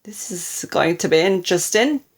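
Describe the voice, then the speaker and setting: A woman's voice: on the low side, animated, moderate pace, slightly rough. Storybook husband, played by a woman: sly, gleeful, falsely sweet. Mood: amused. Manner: mock-sweet, amused. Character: sly, gleeful husband egging on his mother-in-law.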